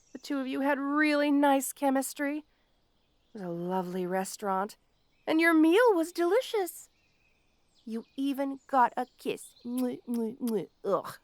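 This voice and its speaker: High-voiced, haughty, melodramatic female supervillain. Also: no echo. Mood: mocking, flustered. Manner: gloating, haughty, dramatic pauses and sudden swoops. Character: petty, obsessive, melodramatic female supervillain with a grudge. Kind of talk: theatrical audio roleplay monologue, addressing the listener directly.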